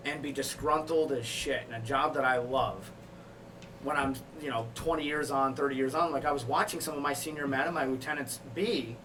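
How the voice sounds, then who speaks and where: A man's voice: on the high side, a bit bright, distant mic. Man telling his story, slightly off-mic, noisy. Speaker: podcast guest, firefighter. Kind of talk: personal storytelling, casual chat. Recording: off-mic with clear background noise.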